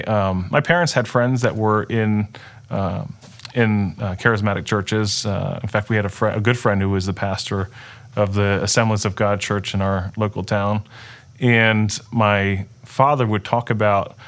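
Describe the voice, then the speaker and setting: A middle-aged man, a native Dutch speaker, telling his personal story in an earnest, halting way with many restarts. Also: lowish voice, fairly bright tone. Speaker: interview guest. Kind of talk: personal testimony in conversation.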